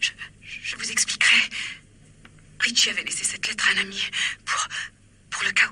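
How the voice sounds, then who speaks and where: An actress playing a poised, guarded widow, polite and protesting, in a French radio crime drama. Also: female voice, medium pitch, steady tone, moderate pace, bright, forceful tone, husky, full character voice. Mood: hushed, frightened urgency. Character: murdered man's widow, poised, polite, indignant.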